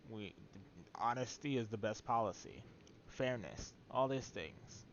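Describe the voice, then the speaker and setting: A man's voice: mid-range voice, unhurried, dull audio, slight background noise. A man casually commenting as he streams a video game, with wry, laid-back asides. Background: video game audio.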